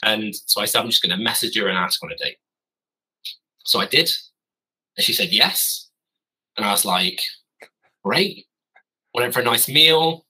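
Guy, 30s, podcast guest on a call from his garden office; husky, forceful tone, meandering and self-correcting. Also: on the low side, expressive, moderate pace, long pauses, rough recording. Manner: rambling, self-correcting, wry. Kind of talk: casual storytelling.

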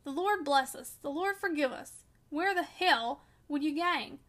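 A woman's voice: sits high, fairly bright tone. Woman doing a sneering old Yorkshire manservant. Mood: indignant. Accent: Yorkshire dialect put on. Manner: sneering, scolding, slow drawl. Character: grumbling old male Yorkshire servant, sneering and pious.

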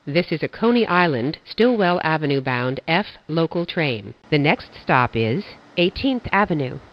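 An automated-sounding subway announcer reading stops and transfers in flat, measured phrasing. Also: medium pitch. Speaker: train announcer. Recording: dull lo-fi audio.

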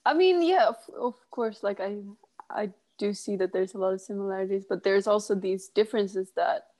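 A woman's voice: medium pitch, normal speed, dark tone. A young woman whose first language is Swedish, a chef chatting as an interview guest in a hesitant way with many fillers. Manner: hesitant, frequent "you know" fillers. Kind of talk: casual interview chat.

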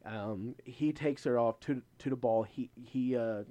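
A man co-hosting a podcast, chatting casually through a recap in an informal, halting way as he searches for words. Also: mid-range voice, mellow. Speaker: podcast co-host. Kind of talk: casual chat, episode recap.

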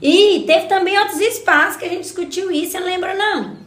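A woman's voice: very high voice, fast talker, a bit bright, echoey room. A woman playing a spirited, wise older grandmother with a Minas Gerais Brazilian accent, explaining things in a folksy, motherly way in a radio drama. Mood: emphatic, recalling. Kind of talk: scripted community radio drama.

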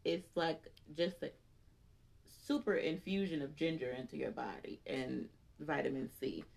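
A woman's voice: low-pitched, unhurried, gravelly, darkish tone. A woman in her thirties co-hosting a casual podcast, telling stories with exaggerated indignation and laughing often. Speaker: podcast co-host. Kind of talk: casual chat.

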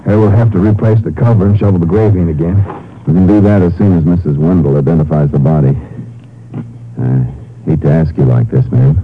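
A man's voice: sits low, fairly quick, long pauses, lightly acted. An American man playing a firm, terse Texas Ranger in a 1950s radio drama, speaking in a clipped, authoritative way. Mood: businesslike. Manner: clipped, matter-of-fact, authoritative. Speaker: lead actor of a radio crime series. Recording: muffled, noisy old radio broadcast recording.

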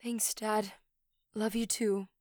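Actress as troubled young girl for audio drama: weary, vulnerable, halting, unhurried delivery. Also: medium pitch, little pitch movement, very noisy, close to an everyday voice. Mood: subdued. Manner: slow, hesitant, halting.

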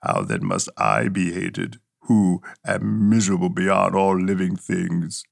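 A man voicing a slow, gravelly brooding creature. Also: animated, no room sound, studio quality, full character voice. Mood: bitter. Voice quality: gravelly, husky. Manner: slow, low, heavy pleading. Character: anguished male creature, huge and brooding.

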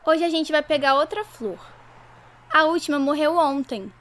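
A woman's voice: high-pitched, moderate pace, fairly bright tone. A woman playing an eager, wistful little schoolgirl who asks childlike questions in a radio drama, with a Brazilian accent. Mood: pleading.

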